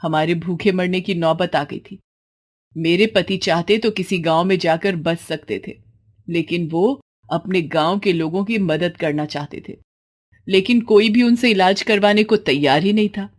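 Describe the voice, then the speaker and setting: A woman's voice: lowish voice, unhurried. A woman voicing a wronged, middle-aged village widow in a story, speaking in a pointed, emotional, dramatic way. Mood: pained, earnest. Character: wronged, bitter village widow and mother, defiant.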